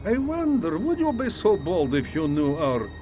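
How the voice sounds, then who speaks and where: A man's voice: a little high, wildly swooping pitch, normal speed, over music. An actor playing a grandiose, gloating video game villain, theatrical, pompous and condescending in the way he monologues. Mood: smug menace. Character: gloating, grandiose male villain with fiendish schemes. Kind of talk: video game cutscene acting.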